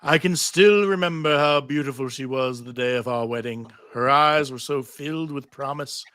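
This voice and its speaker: A man playing a bitter, grieving musician, speaking slowly and accusingly in a live script read. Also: medium pitch, fairly bright tone, clear, resonant tone, dry recording, natural voice. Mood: wistful grief. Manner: slow, accusing delivery. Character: bitter grieving male musician, blaming a warrior.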